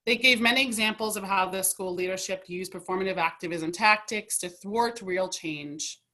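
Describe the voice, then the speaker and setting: A woman of middle pitch reads out a prepared statement at a community meeting. Her delivery is earnest and deliberate. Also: sharp tone. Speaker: community speaker.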